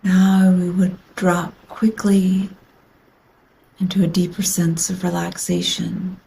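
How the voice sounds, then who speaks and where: A woman guiding a yoga nidra meditation in a soothing, unhurried manner with long pauses, her phrases trailing into breathiness. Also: on the low side, little pitch movement, gravelly, dark tone, a little hiss or hum, rough recording. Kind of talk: guided meditation.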